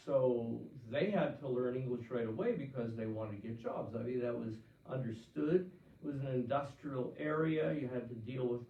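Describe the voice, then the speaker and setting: A man's voice: medium pitch, creaky. An older man, a pastor from Pennsylvania, answering listener letters in a conversational Q&A talk with frequent restarts and fillers. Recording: roomy, distant, dull-sounding.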